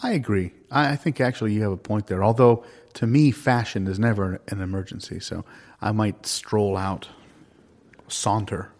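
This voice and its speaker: A man with a fairly low voice riffs in improvised comedy. He speaks in a halting, musing way and keeps a mock-serious, deadpan face on every idea. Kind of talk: improvised deadpan comic banter.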